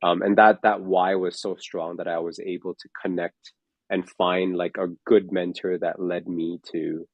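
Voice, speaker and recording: A man's voice: deep voice, level tone, a little slow, dry recording, dull audio. A man in his forties, a fitness coach, talking in a casual chat, rambling with lots of fillers and restarts. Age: 40s.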